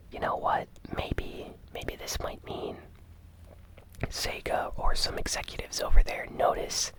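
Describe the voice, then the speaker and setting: A host whispering a casual gaming news recap in a breathy, conversational ASMR style.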